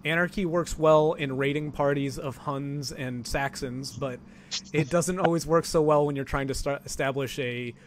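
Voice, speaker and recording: American male, twenties, higher-pitched voice, rambling and animated casual talker with halting delivery. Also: slight background noise. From Ohio, United States. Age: 20s. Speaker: talk show participant discussing politics. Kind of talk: casual political chat.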